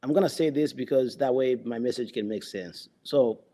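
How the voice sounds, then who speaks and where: A man with a mellow, relaxed tone and an ordinary, middle-of-the-road pitch tells stories from his police work. English is not his first language, and he talks in halting runs, restarting phrases and leaning on "you know" as he goes. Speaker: interview guest, police officer. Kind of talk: conversational interview storytelling.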